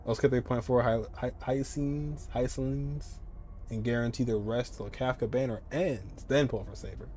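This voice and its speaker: A man's voice: mid-range voice, fairly even intonation, moderate pace, darkish tone, a little hiss or hum. A man live-streaming a game, chatting casually and rambling as he answers viewers, often repeating his phrases.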